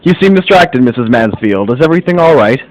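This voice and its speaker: A man playing a brisk, formal, self-assured private detective in a radio mystery. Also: mid-range voice, lively intonation, close to an everyday voice, low-quality recording. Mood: polite, brisk. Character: brisk, observant American gentleman private detective. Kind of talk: radio mystery play acting.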